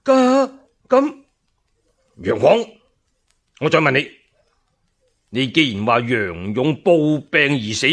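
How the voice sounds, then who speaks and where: A man's voice: medium pitch, sing-song, slow, long pauses, fairly bright tone, heavily acted. A man voicing a crafty, tyrannical emperor in a pompous, indignant declaiming manner for a radio story. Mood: flustered.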